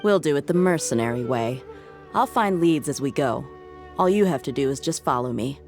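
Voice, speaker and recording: A shrewd female mercenary in a video game. Her voice is notably low, and she sounds cool and sure of herself. Music runs clearly behind her. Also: animated, moderate pace. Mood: confident, focused. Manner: cool, assured. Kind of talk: scripted video game voice acting.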